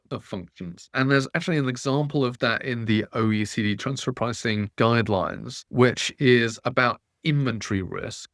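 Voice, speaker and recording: A man presenting a professional webinar, explaining points step by step in a measured way. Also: lowish voice, no room sound, clean studio sound. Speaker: corporate lawyer presenting a webinar.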